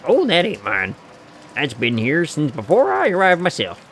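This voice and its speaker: A man voicing a friendly, teasing hobo drifter with a folksy, unhurried way of talking, reading a game character's lines aloud. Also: fairly high pitch, huge pitch swings, a bit bright, a little hiss or hum.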